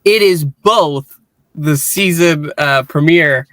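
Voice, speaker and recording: A man co-hosting a casual fan discussion, talking earnestly and haltingly, often repeating words as he thinks. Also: a little high, a bit bright, no room sound. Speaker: podcast co-host.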